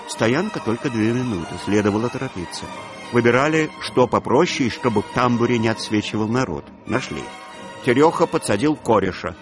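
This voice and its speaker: Male radio-play narrator over music. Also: mid-range voice. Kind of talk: dramatised literary narration.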